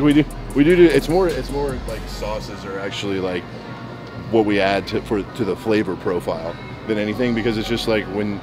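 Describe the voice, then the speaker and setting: A young man chatting casually while shopping in a big grocery store, rambling and repeating words as he explains his eating habits. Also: lowish voice. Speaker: bodybuilder showing his grocery run. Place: large indoor grocery store.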